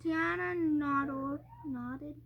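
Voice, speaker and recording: A young child reading a storybook aloud, haltingly and word by word, stumbling over harder words. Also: high-pitched, creaky, muffled recording.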